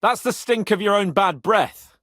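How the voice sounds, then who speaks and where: A man's voice: high-pitched, unhurried, a bit bright, no echo, clean studio sound. A man playing a cocky, hot-tempered young medieval nobleman in a video game, loyal but boastful, with a swaggering, teasing manner. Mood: indignant, exasperated. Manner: swaggering, teasing, colourful swearing. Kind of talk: video game character acting.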